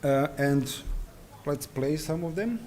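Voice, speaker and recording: A man whose first language is Serbian gives an invited conference lecture, halting with many fillers and restarts. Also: fairly high pitch, animated, darkish tone, a little hiss or hum. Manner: hesitant, frequent fillers and restarts. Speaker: conference presenter. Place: conference hall.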